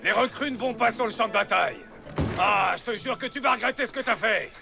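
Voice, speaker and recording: Cartoon drill boss: harsh, gravelly, commanding, contemptuous, loud music underneath. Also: male voice, extremely high pitch, brisk, exaggerated voice. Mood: angry, commanding. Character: harsh bullying military superior barking at recruits. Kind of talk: animated film French dub acting.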